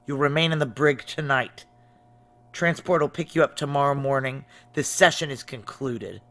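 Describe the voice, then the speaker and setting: A woman's voice: very deep voice, normal speed, a bit bright. An actress playing a tough, weary female military chief in a noir audio drama, blunt, clipped and commanding as she acts and narrates. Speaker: narrator and lead actor.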